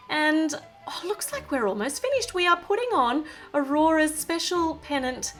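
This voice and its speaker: Woman, high-pitched, very lively intonation, chatty toy presenter, playful and gushing, speaks to the viewer. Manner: talks to the viewer. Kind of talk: chatty build-along narration for children.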